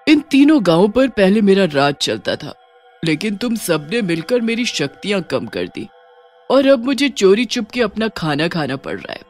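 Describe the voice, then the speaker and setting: Man voicing a menacing witch, heavy yet high voice, vengeful, horror cartoon, music bed. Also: very animated, moderate pace. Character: vengeful female witch in her true form, menacing. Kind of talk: animated horror story dialogue.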